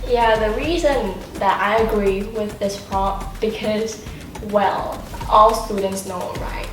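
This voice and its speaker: Halting teenage girl in echoey room. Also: medium pitch, music in the background. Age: teenager, high school student. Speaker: student panelist. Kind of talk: casual discussion of school life. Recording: echoey room, distant mic, clear noise.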